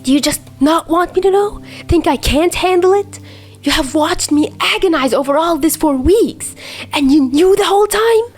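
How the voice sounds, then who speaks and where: A woman voicing a distraught young man who shouts hurt, pleading accusations in a read-aloud story. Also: very high voice, very animated, takes it slowly, hardly any pauses, soft background music, pitched above the performer's own voice, exaggerated voice. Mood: anguished anger.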